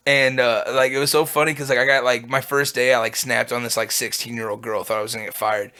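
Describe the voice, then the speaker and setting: A young man with a low voice, rambling casually on a podcast, with constant fillers and swearing. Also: flat delivery, fast talker, bright, forceful tone, clean tone. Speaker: podcast guest. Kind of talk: casual chat.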